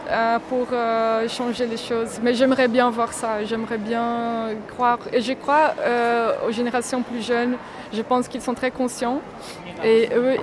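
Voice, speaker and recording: A woman answering a street interview, thoughtful and hesitant, with many pauses. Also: a little high, background noise. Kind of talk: street vox pop.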